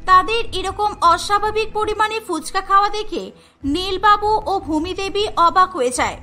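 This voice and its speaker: A woman's voice: very high-pitched, normal speed, sharp tone. A woman narrating a scripted cartoon story as the storyteller. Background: music.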